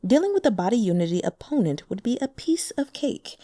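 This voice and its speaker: A woman narrates a translated fantasy novel with lively, varied intonation and gives the characters their own voices. Her voice is mellow and warm, recorded close on a dry mic. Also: a little high, a little fast, no room sound. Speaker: audiobook narrator.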